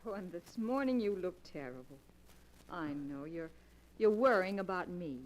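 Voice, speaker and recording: An actress playing a soft-spoken, affectionate young wife in an old-time radio drama. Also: female voice, on the low side, lively intonation, moderate pace, natural voice, low-quality recording. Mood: tender. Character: gentle ailing young wife, tender. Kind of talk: 1940s radio acting.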